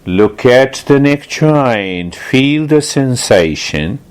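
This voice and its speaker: Slow-paced man guiding a meditation. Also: mid-range voice, lively intonation, smooth. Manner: deliberate, repetitive, explanatory with rhetorical questions. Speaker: meditation teacher and host. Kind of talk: guided meditation instruction.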